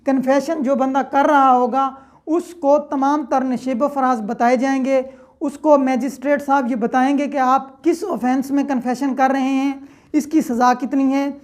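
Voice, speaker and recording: A woman's voice: sits high, a little mellow. A woman teaches as a lecturer. She explains methodically with examples, restating each point and checking that it is understood. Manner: didactic, step-by-step, repeats points and checks understanding. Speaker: law lecturer.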